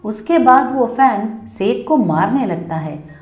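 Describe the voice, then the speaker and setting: A woman's voice: fairly high pitch, animated, slow pace, a little mellow. A woman storyteller reading a scripted children's story. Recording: roomy echo, music behind.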